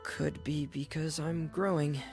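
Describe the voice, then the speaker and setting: A shy teenage boy in a video game, low in pitch. He talks slowly and haltingly, gloomy and timid, over faint music. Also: fairly even intonation, darkish tone. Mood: evasive, nervous. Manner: hesitant, halting.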